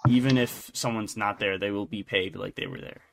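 A man's voice: lowish voice, steady tone, brisk, creaky, heavy background noise. A man co-hosting a podcast, chatting casually about entertainment news in a rambling, jokey, self-interrupting way. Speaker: podcast co-host.

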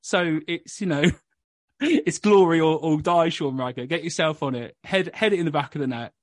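A man's voice: fairly high pitch, normal speed, dry recording, clean studio sound. A man hosting a football podcast, chatting casually with blunt, pessimistic banter. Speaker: podcast host.

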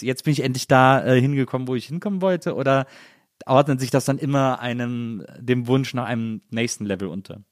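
Male podcast host, medium pitch, chatting casually, kinda hesitant n thoughtful, very dry audio. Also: no echo. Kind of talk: casual conversational interview talk.

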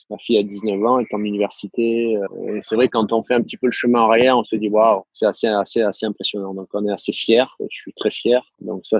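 A middle-aged man from the south of France with a southern French accent, answering interview questions in a halting way, with many fillers and repeated words. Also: lowish voice, darkish tone, husky, no room sound, lo-fi sound. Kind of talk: casual interview talk. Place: living in the United States.

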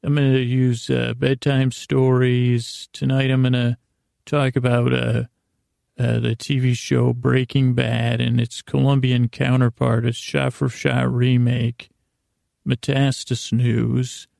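A man with a mid-range voice full of heavy vocal fry speaks in a soothing bedtime-podcast monologue. He wanders and stammers, restarting phrases and interrupting himself. The sound is dry, with no room around it. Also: medium pitch, little pitch movement, darkish tone, dry recording. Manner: halting, meandering, with repeated stammered words and self-interruptions. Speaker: host of a bedtime-story podcast.

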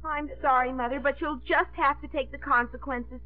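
An actress playing a melodramatic, indignant young daughter who makes a show of self-sacrifice, in 1950s radio comedy style. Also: female voice, high-pitched, steady tone, moderate pace, natural voice. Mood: martyred, indignant. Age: young adult. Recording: tinny vintage radio broadcast, faint music.

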